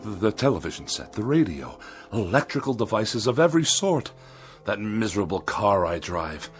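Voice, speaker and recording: A man's voice: lowish voice, very animated, moderate pace, faint music behind. A man playing a pompous, irritable and lonely magazine critic with haughty, precise diction in a 1960s television drama. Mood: anxious, desperate. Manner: haughty, ornate, precise wording.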